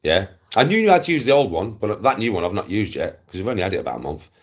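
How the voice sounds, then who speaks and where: Male, 40s, English accent, rough husky low voice; emphatic, repetitive, foul-mouthed livestream rant. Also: sing-song, fast. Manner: sweary, blunt, repeats himself emphatically. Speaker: live streamer. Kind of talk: ranting casual livestream chat.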